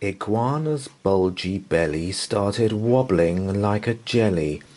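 A man's voice: lowish voice, lively intonation, dark tone. A man reading a children's bedtime story aloud in a playful, theatrical way. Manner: playful, theatrical, drawn-out delivery. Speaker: storybook reader.

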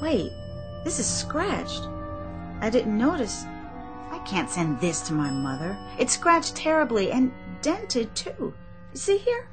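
Actress as an indignant, high-voiced woman shopper. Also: huge pitch swings, slow pace, exaggerated voice. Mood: upset, complaining. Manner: insistent, complaining. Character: indignant, insistent woman customer in a department store. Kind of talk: radio play acting. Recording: music playing behind the voice.

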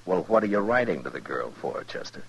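An actor playing a steady, dry-humored American frontier lawman in charge, in a 1950s radio western. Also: deep voice, brisk, creaky, slightly rough, heavily acted. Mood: dry amusement. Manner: dry, commanding. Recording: dull, noisy 1950s radio recording.

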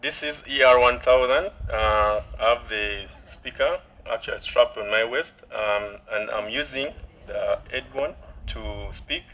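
A young man giving a product demonstration outdoors in short, halting statements, amplified through a megaphone. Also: mid-range voice, fairly bright tone, poor audio. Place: outdoors by a building wall, some distance from camera. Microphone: megaphone and headset into portable speaker.

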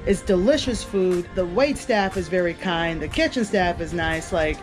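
A woman's voice: fairly low pitch, moderate pace, music in the background. A woman in her thirties talking candidly to the camera in a casual home vlog, laughing easily. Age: 30s. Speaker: vlogger. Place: bedroom at home.

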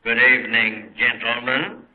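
Actor as suave English villain, tinny old radio. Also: male voice, medium pitch, unhurried, noisy background, close to an everyday voice. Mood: mock-polite. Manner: silky, mock-polite. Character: suave, coldly courteous male criminal mastermind. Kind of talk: 1940s radio drama acting.

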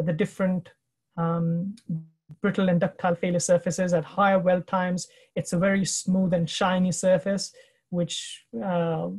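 A man presenting research findings in a webinar over a video call, explaining slides in a measured way with frequent pauses and fillers. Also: high voice, darkish tone. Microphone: video call webcam.

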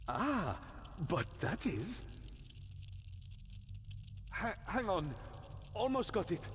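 A man's voice: fairly high pitch, a little hiss or hum. A man playing a whiny, wisecracking, easily flustered adventurer's companion in a video game, with theatrical, hesitant grumbling. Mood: groping, bemused. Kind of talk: animated video game quest dialogue. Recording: long cavernous reverb, music behind.

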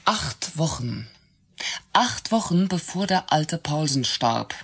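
An actress in a radio play, playing a bitter, jealous secretary who speaks in a reproachful, hesitant way. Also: female voice, low-pitched, big pitch swings, a bit bright, husky, heavily acted. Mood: accusing. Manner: sarcastic, reproachful, hesitant pauses. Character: bitter, jealous secretary and former mistress of her boss. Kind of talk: naturalistic German radio play acting.

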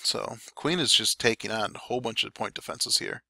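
A man giving relaxed, chatty play-by-play commentary over game replays, his throat a little dry. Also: lowish voice, a little fast, creaky, dry recording. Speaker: clan war recap commentator.

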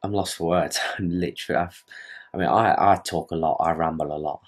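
A man's voice: sits low, fairly even intonation, a little fast. A young British man in his twenties, rambling earnestly to his camera at night in his bedroom, swearing casually. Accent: British English. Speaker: fitness vlogger. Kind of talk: casual vlog talk to camera.

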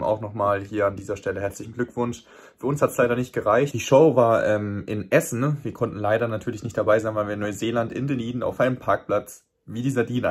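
A man's voice: fairly low pitch, husky. A man in his twenties, a travel vlogger, chatting casually from inside a camper van into an earphone mic, with lots of fillers. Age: 20s. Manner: relaxed, chatty, filler-heavy. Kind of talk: casual vlog chat. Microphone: earphone headset mic.

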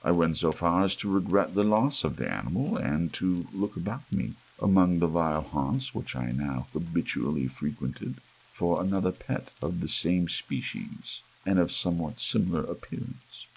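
A man with a very low voice narrates a gothic audiobook in an ASMR style. His tone is gravelly and husky, full of creak. He phrases with measured, dramatic pauses. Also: expressive, poor audio. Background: soft rain.

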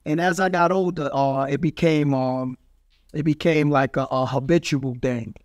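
A man's voice: on the high side, steady tone, moderate pace, darkish tone, no echo. A middle-aged man with a New York accent, telling bawdy street stories in a brash, boastful, slangy way on a studio mic. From Brooklyn, New York. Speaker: guest, street graffiti artist. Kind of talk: bawdy street storytelling in casual podcast talk. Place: small studio with foam panels. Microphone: studio mic on a boom arm.

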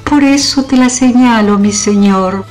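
A woman playing a haughty, slow-speaking older medieval queen. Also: a little high, a little mellow, close to an everyday voice. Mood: scornful. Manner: theatrical, emphatic repetitions, haughty. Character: proud, domineering older medieval queen, scornful and mocking. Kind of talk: historical radio drama acting. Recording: music under the voice.

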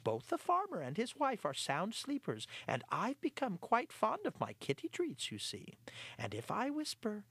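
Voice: medium pitch, huge pitch swings, moderate pace, fairly bright tone, slightly rough, no echo, voice pushed up, full character voice. A storyteller voicing a sly, fat, spoiled male house cat who drops into smug whispers.

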